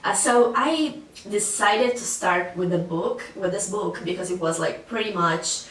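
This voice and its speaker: A woman's voice: medium pitch, measured pace. Woman, Italian accent, 20s, chatty vlogger, mic a bit distant. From Sicily, Italy. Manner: chatty, many fillers and restarts. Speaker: language-learning vlogger. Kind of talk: casual talk to camera. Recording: echoey room, voice a bit far from mic.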